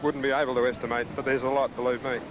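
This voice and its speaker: A man's voice: mid-range voice, level tone, racing, highs cut off. Plain-spoken Australian man, noisy outdoor tape. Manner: plain-spoken, matter-of-fact. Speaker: rescue worker interviewee. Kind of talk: unscripted field interview.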